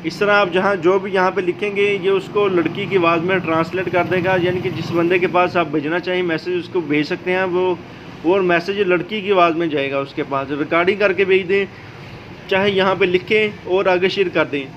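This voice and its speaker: A man's voice: high voice, a little fast. A man narrating a how-to video as a tutorial host. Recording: dull lo-fi audio, steady background noise.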